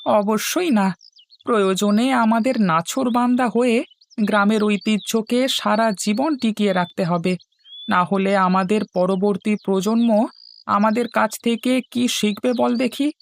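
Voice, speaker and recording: Woman voicing an affectionate village father, lowish pitch. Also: measured pace, creaky, slight background noise. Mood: glad, earnest. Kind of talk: Bengali cartoon dubbing.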